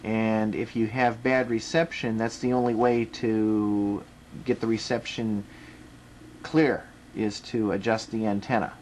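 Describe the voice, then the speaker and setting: American man, casual demonstrator, halting step-by-step explanations, home camera microphone, faint noise. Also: on the low side, little pitch movement, darkish tone. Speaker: video presenter demonstrating a radio. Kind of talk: casual unscripted product demonstration.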